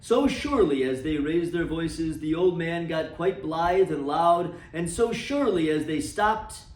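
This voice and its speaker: A man's voice: animated, unhurried, echoey room, far from the mic, rough recording. Man reading a classic tale aloud dramatically. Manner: dramatic, sinking low and soft at ends of phrases. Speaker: storyteller reading for a library. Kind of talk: expressive read-aloud of a classic novel.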